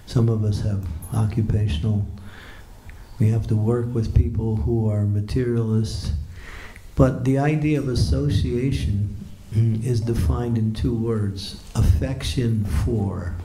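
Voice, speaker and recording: Gravelly dark-voiced man lecturing. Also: on the low side, a little slow. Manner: relaxed, chuckling, conversational teaching. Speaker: monk giving a morning lecture. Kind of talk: informal spiritual lecture. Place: temple hall. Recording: echoey temple room, faint hum.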